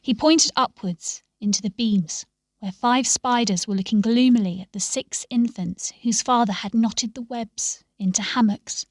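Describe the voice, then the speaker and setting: Female storybook narrator, fairly high voice, close dry sound, expressive and measured delivery. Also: dry recording. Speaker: story reader.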